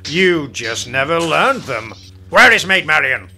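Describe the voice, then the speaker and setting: A cheeky, bold outlaw hero, voiced by a man in a homespun radio play. His voice sits high and swings widely, bright and forceful, and he takes his time over the lines. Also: very animated, unhurried, a little hiss or hum, full character voice. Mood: heroic. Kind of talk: amateur radio play acting.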